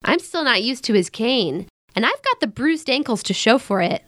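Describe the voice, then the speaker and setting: Outspoken young American sister, high voice, swooping intonation, blunt wisecracks, modern audio drama. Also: female voice, moderate pace, no echo, clean studio sound. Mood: breezy, teasing. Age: young adult. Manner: animated, blunt, casual banter.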